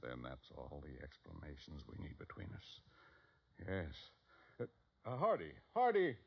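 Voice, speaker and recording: An American actor playing a frail, kindly rich old man in a 1950s radio drama, folksy and halting, repeating his words. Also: rumbling low, huge pitch swings, vocal fry, breathy edge, exaggerated voice. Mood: flustered. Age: older. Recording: muffled old radio recording.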